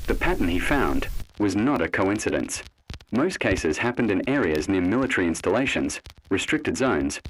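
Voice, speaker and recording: A man narrating a scripted analog horror story in an ominous, solemn, deliberate way with dramatic pauses. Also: on the low side, a little hiss or hum, poor audio.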